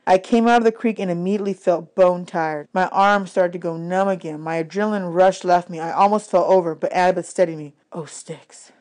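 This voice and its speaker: A woman reading a children's adventure novel aloud as an expressive audiobook narrator, in scripted first-person narration. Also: fairly low pitch, a little fast, hardly any pauses.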